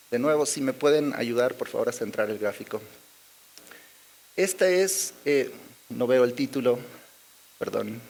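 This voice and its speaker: A man with a Bolivian accent presenting research charts at a conference, with many pauses, fillers and little tag questions. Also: mid-range voice. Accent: Bolivian Spanish. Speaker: conference speaker. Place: conference hall.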